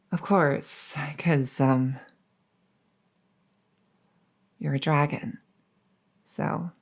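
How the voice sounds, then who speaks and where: A woman's voice: deep voice, darkish tone. A woman playing a captured crown princess, haughty but easily flustered and coy, in a roleplay audio drama. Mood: flustered, probing. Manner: stammering, hesitant, halting.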